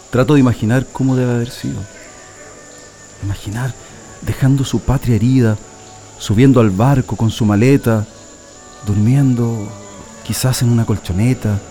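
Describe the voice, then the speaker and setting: A man's voice: medium pitch, mellow, natural voice. An actor playing a proud, nostalgic political prisoner in a radio drama, telling his story reflectively with a Chilean accent. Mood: solemn, nostalgic. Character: male political prisoner, proud nostalgic communist militant, immigrant's son.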